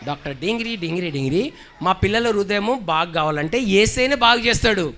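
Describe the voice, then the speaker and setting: A man's voice: high-pitched, lively intonation, fairly quick, a bit bright, slight background noise. Man presenting a children's puppet show. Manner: patient, questioning, correcting, teacherly. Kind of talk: Sunday school puppet dialogue for children.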